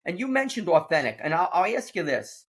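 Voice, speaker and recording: A man hosting a casual leadership conversation, upbeat and quick to laugh, often restarting his sentences. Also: sits high, sing-song. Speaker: podcast host.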